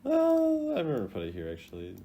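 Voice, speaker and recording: A young man giving casual, playful reaction commentary as a gaming video host, jumping between loud exclamations and low mutters. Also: huge pitch swings, fast talker, gravelly. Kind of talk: casual reaction commentary ranking game voice lines.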